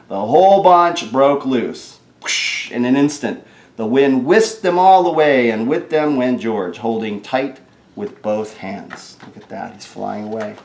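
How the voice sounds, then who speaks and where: A man reading a children's picture book aloud in an animated way, adding informal, chatty asides about the pictures. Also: mid-range voice. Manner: informal, chatty commentary on the pictures between read lines. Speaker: storybook reader. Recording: roomy, echoing sound.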